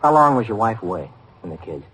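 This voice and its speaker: An actor playing a resigned, loyal police partner with an American accent, dry and matter-of-fact, in a vintage radio drama. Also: male voice, lowish voice, sing-song, fast talker, fairly bright tone, husky, full character voice. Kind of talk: 1950s radio drama acting. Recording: noisy vintage radio recording.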